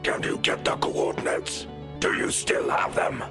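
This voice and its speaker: A man's voice: over music, close to an everyday voice. A man playing a menacing, imperious warlord king in an audio drama, with a harsh whispery growl and grandiose delivery. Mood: grim resolve. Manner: grandiose, imperious, slow pronouncements. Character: menacing undead warlord king, commanding and vengeful. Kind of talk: audio drama villain acting.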